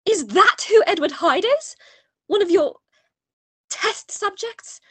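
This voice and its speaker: Woman acting a very high-voiced, distraught gentlewoman. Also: lively intonation, takes it slowly, no echo, heavily acted. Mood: troubled questioning. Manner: emotive, questioning, theatrical. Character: earnest, distraught, pleading gentlewoman in love. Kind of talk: radio play acting.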